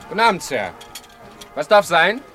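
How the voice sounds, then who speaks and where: A man's voice: high-pitched, sing-song, normal speed, bright, forceful tone, faint music behind, heavily acted. A man playing an eager, polite counter server in a German radio drama, deferential to the customers.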